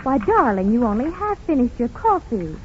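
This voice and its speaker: Sweet worried young wife, old radio ad, lilting lively voice, scratchy dull sound. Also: female voice, a little high, normal speed. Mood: gently reproachful. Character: sweet, concerned newlywed wife, gently reproachful. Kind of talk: 1930s radio advertisement acting.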